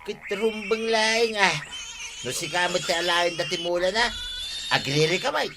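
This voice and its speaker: A man's voice: high voice, moderate pace, noisy background. An actor playing a blustering, argumentative village man in an Ilocano radio comedy drama, speaking with an Ilocano accent. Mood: nagging.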